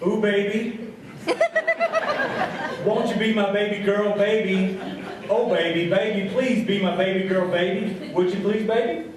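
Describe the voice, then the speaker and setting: A man's voice: high-pitched, steady tone, measured pace, long pauses, a crowd in the background. A man playing a folksy, obsequious small-town radio host in a comic stage radio show. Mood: playful. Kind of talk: comic radio theatre acting. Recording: very echoey hall, distant mic.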